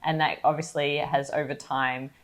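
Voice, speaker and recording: Young woman co-host, slightly low voice, casual storyteller who acts out her own quotes. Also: normal speed. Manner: chatty, animated storytelling with acted-out quotes. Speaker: board game channel co-host. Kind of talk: casual travel chat.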